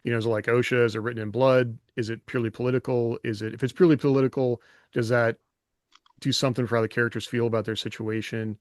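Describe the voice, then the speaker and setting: A man talks rapidly and casually as a podcast host, working through ideas by posing questions. His voice sounds close and dry, with no room around it. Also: medium pitch, flat delivery, no room sound. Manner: poses rhetorical questions. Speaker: podcast host, former soldier.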